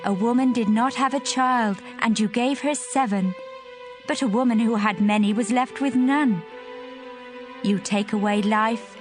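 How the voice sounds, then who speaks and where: An actress playing a devout, grateful biblical mother, speaking earnestly in a dramatized scripture reading. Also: a little high. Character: devout grateful mother praying, Hannah of the Bible. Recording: voice over prominent music.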